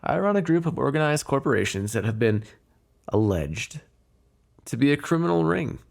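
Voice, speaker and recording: Flirtatious, menacing mob boss acted by a man, gravelly. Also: mid-range voice, sing-song. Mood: sly, businesslike. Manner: teasing, insinuating, drawn-out phrasing. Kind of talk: ASMR roleplay voice acting, one-sided dialogue.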